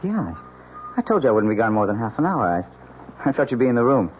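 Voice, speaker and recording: An actor playing a breezy, affectionate young newlywed husband in an old-time radio mystery, teasing and casual with a slight stammer. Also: male voice, medium pitch, very animated, fast talker, close to an everyday voice. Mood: concerned. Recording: dull old radio recording, faint hiss.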